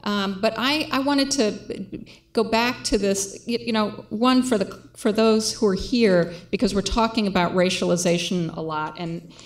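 A woman moderating a public conversation with a handheld mic, measured, with frequent fillers. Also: mid-range voice. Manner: measured, reads quotes aloud, many fillers. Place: lecture hall with audience.

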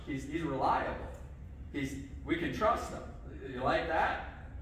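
Pastor preaching from across a church. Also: male voice, on the high side, unhurried, slight background noise. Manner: lively, asks the kids questions, says okay often. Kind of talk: children's sermon. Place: church sanctuary with congregation. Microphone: far camera. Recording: echoey church from far off.

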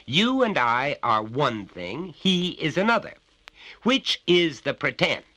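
A man's voice: fairly high pitch, very animated, takes it slowly, pushed and bright, no room sound, voice pushed up, full character voice. Man doing a pompous, overbearing father. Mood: menacing. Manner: lecturing, condescending, menacing.

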